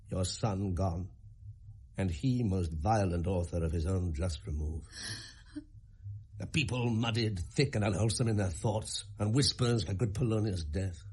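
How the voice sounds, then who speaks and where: A man playing a grave, troubled middle-aged king with a husky voice, declaiming verse in a Shakespeare radio play. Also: deep voice, darkish tone.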